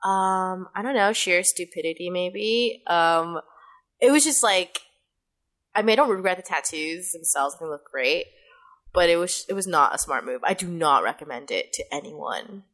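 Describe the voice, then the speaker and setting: A woman's voice: fairly low pitch, expressive, moderate pace, a bit bright. A woman with a youthful, kid-like voice and Mandarin as her first language, chatting casually and rambling on a podcast. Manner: chatty, rambling, laughs often. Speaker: podcast host and voice actress.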